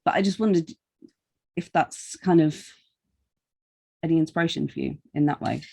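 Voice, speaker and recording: A woman's voice: low voice, moderate pace, creaky, a little mellow, breathy edge. A woman interviewing casually on a podcast, feeling her way through wordy questions.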